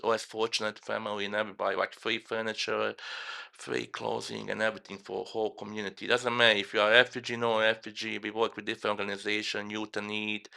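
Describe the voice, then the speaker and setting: A middle-aged man, originally from Croatia, telling his own story as a guest in a halting, rambling way full of fillers. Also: fairly low pitch, creaky, pushed and bright. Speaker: guest, police chaplain. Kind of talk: casual personal story at a roundtable.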